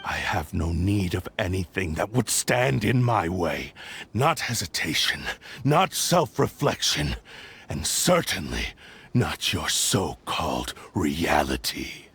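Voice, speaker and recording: A man's voice: deep voice, very animated, fairly bright tone, a little hiss or hum, heavily acted. An actor voicing a proud, obsessive male cursed blade spirit in a video game, speaking coldly and menacingly with flashes of anger. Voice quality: gravelly. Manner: cold, slow and menacing, with bursts of anger.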